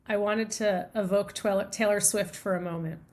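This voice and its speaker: A middle-aged woman from the United States speaks as a guest on a podcast. Her voice sits at an ordinary middle pitch. She tells stories in an earnest, reflective way and comes back to phrases she has already used. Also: fairly even intonation, normal speed. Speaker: guest author. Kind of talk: conversational interview.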